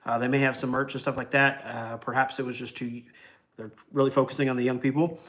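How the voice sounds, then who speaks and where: A man's voice: mid-range voice, little pitch movement, fast talker, fairly bright tone. A man of forty, chatting casually and rambling with lots of ums while hosting a travel livestream. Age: 40s. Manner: rambling and easygoing, many ums and uhs, chuckles.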